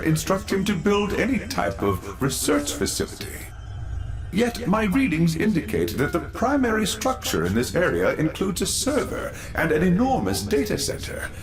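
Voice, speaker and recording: An actor playing an elderly, eccentric, fatherly scientist leader in a video game, speaking formally and theatrically with precise diction. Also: fairly high pitch. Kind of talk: video game cutscene acting. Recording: music under the voice.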